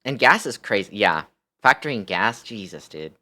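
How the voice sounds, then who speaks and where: Young American male streamer, sharp forward tone, casual chat that tips into animated, sweary exasperation. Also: on the low side, normal speed. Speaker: live streamer.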